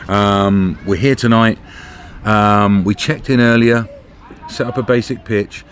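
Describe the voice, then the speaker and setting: Deep-voiced British man vlogging outdoors. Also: fairly even intonation, takes it slowly. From Britain. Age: middle-aged. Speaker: travel vlogger. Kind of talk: casual vlog chat to camera. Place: outdoors at a campsite. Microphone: camera at arm's length. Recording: outdoor camera audio with background noise.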